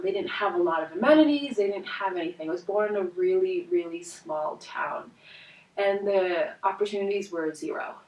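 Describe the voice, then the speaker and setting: A woman in her twenties from India, a native Hindi speaker, telling her life story as a life coach in an earnest, reflective way with soft pauses. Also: lowish voice. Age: 20s. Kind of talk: personal storytelling vlog. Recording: roomy echo, voice from a distance.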